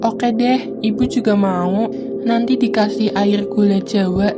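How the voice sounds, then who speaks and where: A woman's voice: mid-range voice, fairly even intonation, measured pace, lightly acted. A woman voicing a friendly, chatty grandmother leading a family visit in a cartoon. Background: music.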